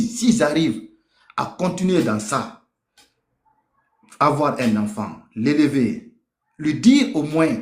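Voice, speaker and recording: Emphatic man in an echoey room. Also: mid-range voice. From Africa. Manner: emphatic, repeats phrases for weight. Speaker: speaker in a group discussion. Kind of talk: impassioned spoken testimony in a debate.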